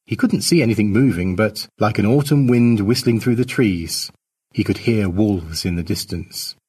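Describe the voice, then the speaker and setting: A man's voice: lowish voice, normal speed, a little mellow, breathy edge. A man reading his own short story as a scripted narration.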